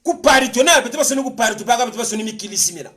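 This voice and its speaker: A man's voice: extremely high pitch, animated, racing, pushed and bright. A man with a Congolese accent giving an impassioned political monologue, insistent and repetitive, switching between languages. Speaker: political commentator.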